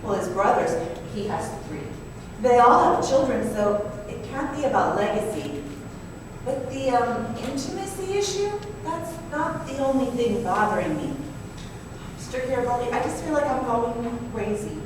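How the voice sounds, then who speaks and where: A woman's voice: fairly high pitch, lively intonation, moderate pace, close to an everyday voice. A woman playing a naive, anxious, wealthy young wife who confides hesitantly in an old-time radio drama. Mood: troubled. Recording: noisy, echoey hall from far off.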